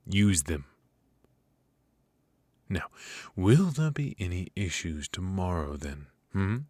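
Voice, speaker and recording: A man's voice: deep voice, big pitch swings, slow, darkish tone, no room sound. A man voicing a cold, strict royal professor of magic, stern and clipped, with dry, condescending remarks in an ASMR roleplay.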